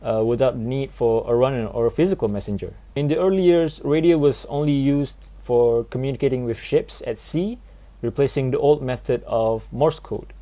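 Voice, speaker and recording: Young man presenting, mellow voice. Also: mid-range voice, moderate pace. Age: 20s. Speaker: student presenter. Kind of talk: prepared presentation, explanatory.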